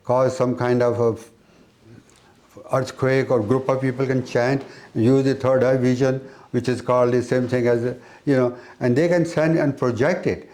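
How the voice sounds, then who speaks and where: A man giving an explanatory spiritual talk as an interviewed teacher, with halting, repetitive phrasing. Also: mid-range voice, fairly even intonation, a little mellow.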